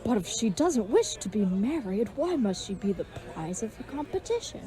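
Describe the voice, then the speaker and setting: A woman's voice: on the high side, big pitch swings, moderate pace, warm tone, a faint crowd. A woman playing a gallant, dim and earnest leathersmith turned would-be knight, with pompous, flowery speech in a comedic audio drama. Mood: troubled, earnest.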